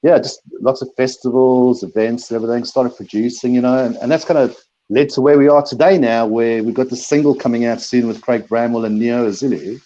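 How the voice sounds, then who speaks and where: A man's voice: mid-range voice, steady tone, brisk, mellow, muffled recording. A middle-aged man from South Africa, a DJ and producer, answering interview questions in a rambling way, repeating words and laughing often.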